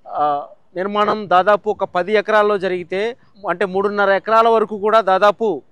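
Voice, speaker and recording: A man's voice: high-pitched, fairly bright tone, clear, resonant tone, dry recording. A man reporting the news from a site, explaining step by step with fillers and restarts.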